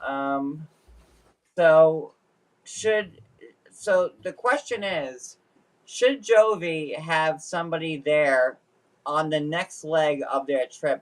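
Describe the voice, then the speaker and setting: A man's voice: fairly high pitch, rough recording. A man hosting a casual live-stream chat, jokey and rambling, with many fillers and restarts. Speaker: host.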